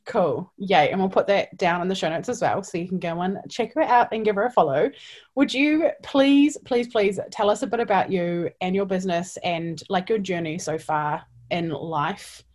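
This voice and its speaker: A woman's voice: on the low side, moderate pace, darkish tone. A woman hosting a podcast, talking in a gushing, enthusiastic way with many "likes". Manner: gushing, enthusiastic, says "like" often. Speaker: podcast host. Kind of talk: upbeat podcast chat.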